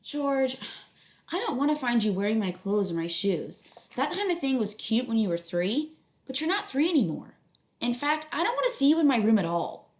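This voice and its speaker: A woman's voice: a little high, big pitch swings, normal speed, reverberant, pitched above the performer's own voice. A woman voicing a stern, suspicious mother who scolds her child in a firm manner, in a children's book reading.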